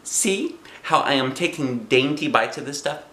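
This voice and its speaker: A man voicing a self-assured, excitable little kindergarten girl who tells her storybook tale in emphatic childlike grammar. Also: mid-range voice, moderate pace, reverberant, slight background noise. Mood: bossy. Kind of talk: first-person children's storybook reading.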